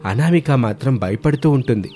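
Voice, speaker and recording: A man narrates a scripted family moral tale. His voice sits at a middle pitch, with faint music playing behind it. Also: animated, moderate pace, warm tone.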